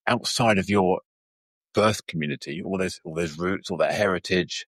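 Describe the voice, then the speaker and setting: Host: man, deep, husky, lively intonation, hesitant phrasing, dry sound. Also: no room sound. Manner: hesitant, repeats words. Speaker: interviewer. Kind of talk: interview questions.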